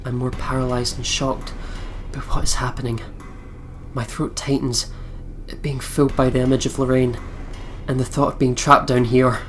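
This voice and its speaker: A man's voice: mid-range voice, little pitch movement, normal speed, a bit bright, a little hiss or hum. A man hosting a let's play, reading visual novel narration aloud in a dramatic storytelling manner.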